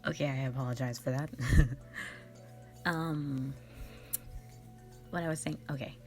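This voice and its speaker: A woman's voice: extremely low pitch, steady tone, unhurried, a little mellow, faint music behind. A young woman hosting a casual question-and-answer chat, giggly, rambling and playfully self-mocking. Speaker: online channel host and amateur voice actor.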